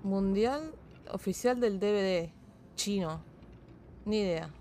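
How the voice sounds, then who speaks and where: A woman's voice: medium pitch, slow, mellow, a little hiss or hum. A woman with an Argentine Spanish accent who streams games, chatting casually in a blunt, slangy, foul-mouthed way. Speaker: game streamer. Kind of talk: casual chat while gaming.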